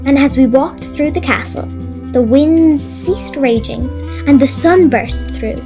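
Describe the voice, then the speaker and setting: Determined young girl adventurer, Irish, high voice, children's audio drama with music underneath. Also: a little slow. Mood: earnest, happy. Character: brave, determined young girl adventurer retelling her journey.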